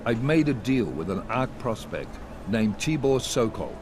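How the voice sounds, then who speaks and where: An actor playing a stern, controlled intelligence chief in a video game, clipped and authoritative. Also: male voice, mid-range voice, very animated, a little slow, noisy background. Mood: grave, businesslike. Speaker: agency director briefing agents. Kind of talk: video game cutscene acting.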